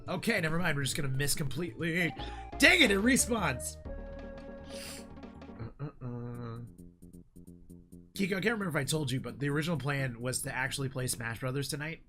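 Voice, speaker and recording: A hoarse young man streaming a game, chatting casually with viewers in a rambling way with lots of fillers. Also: on the high side, lively intonation, a little fast, pauses often, sharp tone. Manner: rambling, frequent fillers, reads chat aloud. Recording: game music behind the voice.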